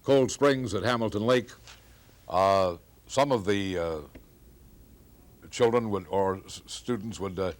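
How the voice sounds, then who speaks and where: A man's voice: fairly low pitch, slow pace, long pauses. An older man, a radio veteran sharing memories in a group interview.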